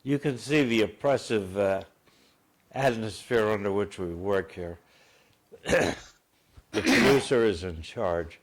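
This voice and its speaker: A man hosting a casual interview, halting with many fillers and dry asides. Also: on the low side. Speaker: host. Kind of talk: casual radio-style interview.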